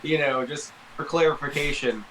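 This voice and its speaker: A man's voice: on the high side, normal speed, crowd noise, rough recording. A man co-hosting a podcast, chatting casually in a laid-back, loose way. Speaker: podcast co-host. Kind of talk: casual chat.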